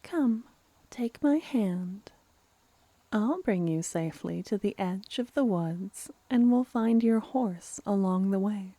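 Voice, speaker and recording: A woman voicing a playful, clever female vampire in a soft-spoken ASMR fantasy roleplay, teasingly menacing and often near a whisper. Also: medium pitch, animated, no echo. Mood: teasing, reassuring. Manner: unhurried, theatrical, teasing, slipping into whispers.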